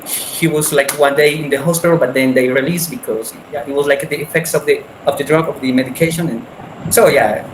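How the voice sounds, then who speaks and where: A man sharing a personal story in casual conversation, speaking haltingly with frequent restarts over a video call. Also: a little high, steady tone. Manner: halting, many restarts and repeated words. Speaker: meeting participant. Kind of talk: casual conversation in English as a second language. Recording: echoey room with background noise.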